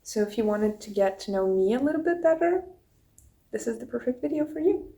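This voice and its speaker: A woman's voice: on the high side, animated, brisk, mellow. A young woman from Russia with a Russian accent, her first language Russian, chatting casually to her phone camera as she answers viewer questions from her notes. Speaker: YouTuber. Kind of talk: casual get-to-know-me chat.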